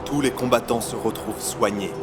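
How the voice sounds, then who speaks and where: A man's voice: medium pitch, brisk. A man narrating a fantasy audio drama in a scripted, dramatic storytelling style. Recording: loud music under narration. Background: loud music.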